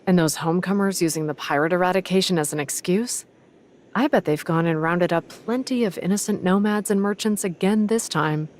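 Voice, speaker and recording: A composed young pirate woman from an anime game, played by an actress. Her voice is on the low side, dry and wry, over faint background noise. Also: brisk, a little mellow, close to an everyday voice. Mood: earnest.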